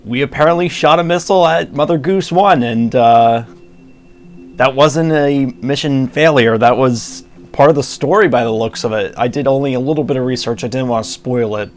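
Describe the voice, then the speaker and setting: A man giving low-key, hesitant, casual commentary as he plays a video game. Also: moderate pace, faint music behind. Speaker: gamer commentating on a playthrough.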